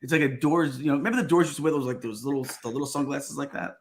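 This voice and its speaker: A man co-hosts a relaxed podcast chat, joking and rambling as he restarts his sentences. His voice is mid-pitched, and he has just gotten over a cold. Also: racing. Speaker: podcast co-host.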